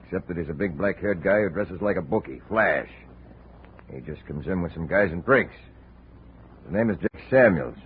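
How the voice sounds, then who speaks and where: An American man playing a cagey, protesting barman with rough, slangy talk in a 1950s radio drama. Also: low voice, animated, moderate pace. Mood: evasive, defensive. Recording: tinny, noisy old radio recording.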